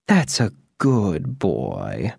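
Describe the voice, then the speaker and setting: A man voicing a sly, calm old witch who speaks slowly and softly. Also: low-pitched, gravelly, breathy edge, no room sound, studio quality, pitched below the performer's own voice, exaggerated voice. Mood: silky. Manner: slow, hushed and silky.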